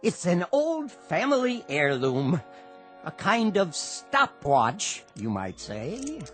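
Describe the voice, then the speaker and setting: A genial old drunk at a bar in a radio drama. He declaims floridly and slowly, his pitch swinging wildly from word to word. Music plays behind him. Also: huge pitch swings, exaggerated voice. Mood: genial, expansive. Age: older. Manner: theatrical, florid, slow declaiming. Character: genial old barfly, grandiloquent, rambling drunk.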